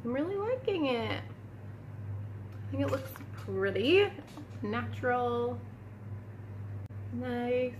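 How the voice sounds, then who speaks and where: A woman's voice: a little high, expressive, very slow, pauses often, darkish tone, slight background noise. A woman giving a casual makeup tutorial at home, chatting to viewers as she applies her products, sniffling a little. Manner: chatty, talks while applying makeup, addresses viewers as "you guys". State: sniffling from a cold room. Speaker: beauty vlogger. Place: indoor room at home.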